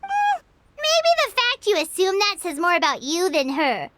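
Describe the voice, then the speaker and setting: A tiny floating fairy companion from a game, with a squeaky, piercing childlike voice. The chatty, cheeky delivery bounces all over the scale, over faint noise and clicks. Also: female voice, wildly swooping pitch, normal speed, fairly bright tone, no room sound, heavily acted. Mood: chatty, curious. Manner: speaks of self in third person. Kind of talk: animated game dialogue.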